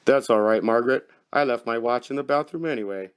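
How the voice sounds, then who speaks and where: An excitable, whiny husband in a horror comic melodrama, acted by a man. His voice is pushed up from a low natural register and sounds hapless and nervous. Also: brisk, clean tone, close to an everyday voice. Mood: falsely casual.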